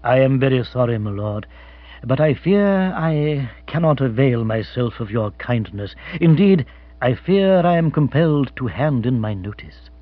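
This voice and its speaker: A man voicing a grave, formal valet who speaks in a measured, deferential way. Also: mid-range voice, big pitch swings, breathy edge, no room sound, narrow, tinny audio. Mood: apologetic, grave.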